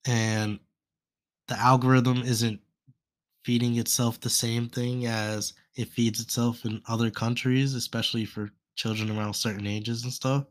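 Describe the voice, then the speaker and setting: A young man hosting a solo podcast in a casual, rambling way, his voice congested from a stuffy nose. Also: medium pitch. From United States. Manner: rambling, many fillers like "and stuff". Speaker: podcast host.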